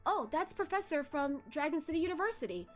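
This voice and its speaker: A woman's voice: high-pitched, very animated, brisk, faint music behind, voice pushed up. A woman voicing a naive, timid young man in a story read-aloud, earnest and a little unsure.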